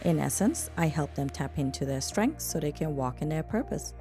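A woman's voice: deep voice, expressive, normal speed, warm tone, soft background music. A woman speaking as a podcast host, introducing and interviewing in a prepared, presentational style.

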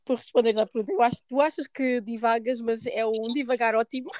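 A woman's voice: on the high side, normal speed, darkish tone, no room sound. Woman with European Portuguese accent, dry close sound. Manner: informal, peppers Portuguese with English words. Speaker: podcast host. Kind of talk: casual conversation.

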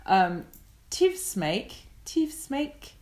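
A woman's voice: medium pitch, big pitch swings, extremely slow, a little mellow. A woman co-hosting a podcast, chatting casually and easily amazed by what she reads out. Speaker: podcast co-host. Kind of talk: casual chat.

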